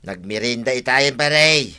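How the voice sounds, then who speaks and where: A man's voice: mid-range voice, animated, moderate pace, sharp tone, clear, resonant tone. Man acting a piercing, evasive village husband. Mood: dismissive. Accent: Ilocano. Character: evasive, lovestruck, phone-obsessed village husband. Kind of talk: Ilocano comedy radio drama acting.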